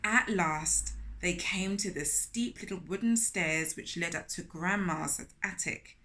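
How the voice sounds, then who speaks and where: A woman reading a picture book aloud to young children, animated and speaking to them directly with questions. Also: on the low side, a little slow. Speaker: children's storyteller, host of a storytime series.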